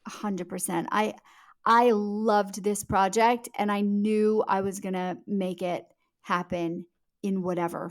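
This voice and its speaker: Earnest woman answering as interview guest. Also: medium pitch.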